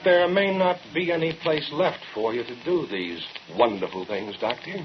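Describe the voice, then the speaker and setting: A man's voice: mid-range voice, expressive, moderate pace, background noise, natural voice. An American man playing a grave, persuasive brother in an old-time radio drama, insistent, pointed and probing. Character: persuasive, grave brother confronting a dangerous scientist. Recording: muffled vintage radio recording with music.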